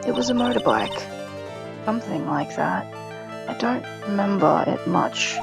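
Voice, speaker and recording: A woman voicing an eerie young mob heiress in a game fan dub, with a halting, deliberate delivery. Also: mid-range voice, animated, gravelly, music in the background. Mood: detached, halting.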